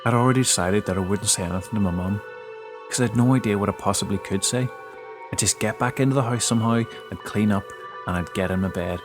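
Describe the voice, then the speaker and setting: A man giving a dramatic first-person narration of a scary story, with halting, emotive delivery. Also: on the low side. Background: background music.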